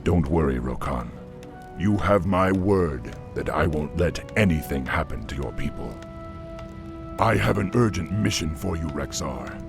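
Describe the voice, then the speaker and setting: A man voicing a calm, measured male orc war chief giving orders in a video game cutscene. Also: a bass voice, vocal fry, music in the background, heavily acted. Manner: measured, commanding and reassuring.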